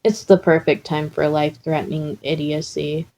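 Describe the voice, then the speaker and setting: A woman voicing a calm, unflappable teenage boy in a story reading, deadpan and nonchalant. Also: sits low, normal speed, poor audio.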